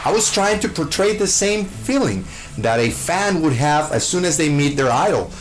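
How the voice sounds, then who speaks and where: A man's voice: a little high, animated, normal speed, noisy background. A man from Costa Rica, a show host, answering interview questions with gushing enthusiasm, full of fillers and restarts.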